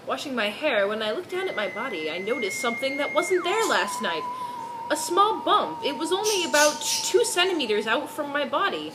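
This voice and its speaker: A woman's voice: on the high side, heavy background noise. A woman doing a mock-serious dramatic reading of fan fiction, dropping in amused asides.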